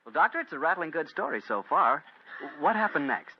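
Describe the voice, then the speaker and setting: Male host for vintage radio mystery show, rapid scripted chat, dull old recording. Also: a little high, very animated, heavy background noise.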